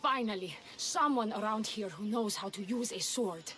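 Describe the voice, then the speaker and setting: A woman's voice: mid-range voice, expressive, moderate pace, sharp tone, slight background noise. An actress playing a feisty, headstrong woman warrior, a younger sister, in a video game, forthright and sardonic. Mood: urgent, defiant. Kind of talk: video game cutscene acting.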